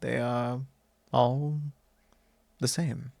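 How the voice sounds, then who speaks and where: A man playing a courtly, fond vampire lord in an intimate ASMR roleplay, speaking formally and unhurriedly with pauses. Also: mid-range voice, little pitch movement, gravelly, a little mellow, dry recording. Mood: gentle, earnest. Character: courtly possessive male vampire lord, long-lived, fond and wistful. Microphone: close microphone, dry.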